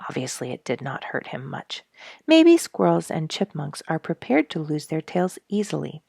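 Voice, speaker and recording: Lady reading a storybook, low kinda creaky voice, expressive, drops hushed for drama. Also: moderate pace, no room sound, studio quality. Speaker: storyteller, show regular. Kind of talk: expressive audiobook narration of an animal story.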